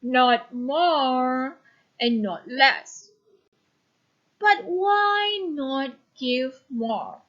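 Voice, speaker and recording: A woman acts out an honest, resolute male baker in a storybook tale. The words come slowly and with firm emphasis in a high, bright voice, on a slightly dull recording. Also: lively intonation, full character voice. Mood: pondering. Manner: slow, emphatic declaiming.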